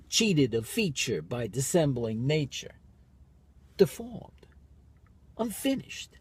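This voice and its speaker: Guy doing a bitter twisted shakespeare villain, scheming, declamatory, husky, swoops all over. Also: fairly high pitch, a little mellow, voice pushed up. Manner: declamatory, scornful. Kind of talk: theatrical Shakespearean soliloquy.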